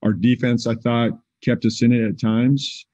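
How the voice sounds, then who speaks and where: A man in his forties, a basketball head coach, answering questions at a postgame press conference over a video call in a measured, plain-spoken way with frequent fillers. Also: medium pitch, little pitch movement, mellow, muffled recording. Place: indoors in front of a team backdrop.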